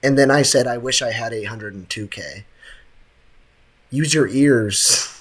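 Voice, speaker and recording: Casting: young male game streamer, medium pitch, casual chat, joking and full of slang. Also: measured pace, fairly bright tone, breathy edge. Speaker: livestreamer.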